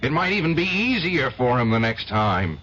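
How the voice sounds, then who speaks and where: An actor playing an earnest, troubled defense attorney in a 1970s radio drama, weary at times and urgently pleading at others. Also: male voice, medium pitch, very animated, moderate pace, fairly bright tone. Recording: dull old radio recording, faint hiss.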